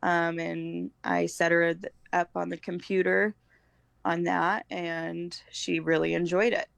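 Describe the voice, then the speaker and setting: A woman's voice: lowish voice, little pitch movement, slow, gravelly, no room sound. An American woman in her thirties chatting informally as a podcast guest, feeling her way through her thoughts as she talks. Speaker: podcast guest, homeschooling mother. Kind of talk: casual conversational chat.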